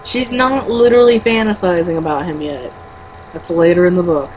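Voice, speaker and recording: A woman's voice: lowish voice, normal speed, darkish tone, slight background noise, rough recording. A woman reading a novel aloud and breaking into exasperated, sarcastic, sweary commentary and casual banter.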